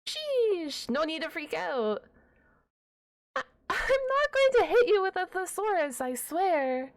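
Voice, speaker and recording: A woman's voice: very high voice, big pitch swings, slow, heavily acted. A woman playing a shy, nerdy half-dragon librarian in an ASMR roleplay, stammering and wordy, awkwardly defensive and a stickler for rules. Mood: flustered, defensive. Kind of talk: ASMR roleplay audio drama.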